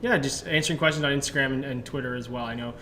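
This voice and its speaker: A man co-hosting a live question-and-answer chat, explaining things in a quick, chatty way. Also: medium pitch, bright, forceful tone, a little hiss or hum. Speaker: content strategist and co-host.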